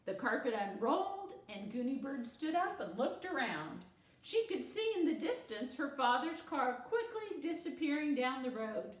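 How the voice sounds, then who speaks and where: A woman storyteller with a fairly high voice reads a children's book aloud. Her delivery is very lively and a little unhurried, with animated expression. The room around her adds a clear echo. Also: distant mic. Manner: animated, acts out character dialogue.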